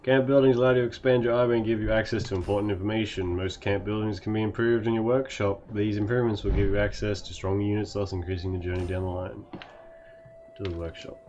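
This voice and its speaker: A man streaming a video game, sick with a gravelly voice, casually chatting and reading tutorial text aloud. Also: fairly low pitch, steady tone, fast talker, darkish tone, muffled recording, slight background noise. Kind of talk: casual let's-play commentary.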